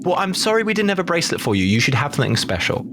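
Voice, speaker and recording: Lively-pitched man playing a tabletop game. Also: rapid-fire, background noise. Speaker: player. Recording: music bed under the voices.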